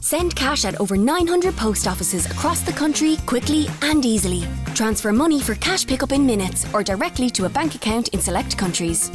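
A woman reading a scripted radio advertisement as a voice-over. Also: a little high.

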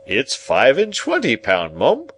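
A male reader puts on a self-important, patronising uncle from a storybook. He is emphatic and pompous, drawing words out slowly and swinging widely in pitch, with light noise behind. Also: wildly swooping pitch, fairly bright tone, exaggerated voice. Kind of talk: audiobook character voice.

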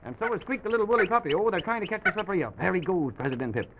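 A man hosting a children's show, narrating a make-believe story in simple, gentle phrases. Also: sits high, lively intonation, rapid-fire. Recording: dull, noisy vintage broadcast recording.

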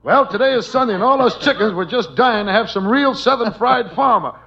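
A man's voice: extremely high pitch, expressive, a little fast, gravelly, voice pushed up, full character voice. A man voicing a talking rooster who is a farmyard killer, exaggerated, in 1940s radio comedy. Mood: brazen, plaintive. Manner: comic, exaggerated. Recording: noisy, muffled old radio broadcast.

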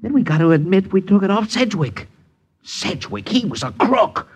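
An actor playing a greedy, dim and jittery bullying husband with uneducated speech in a 1950s radio drama. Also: male voice, high voice, big pitch swings, normal speed, slightly rough, heavily acted. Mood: panicked. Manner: uneducated, ungrammatical working-class speech. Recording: dull vintage radio recording.

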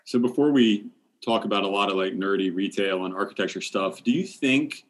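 A man's voice: lowish voice, a little fast. A man hosting an interview, asking long, searching questions with many restarts. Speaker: podcast host.

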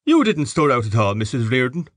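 A man's voice: medium pitch, sing-song, fairly quick, no echo, studio quality, pitched above the performer's own voice. A man voicing a jovial, boisterous middle-aged Irish father, hearty and teasing in his banter.

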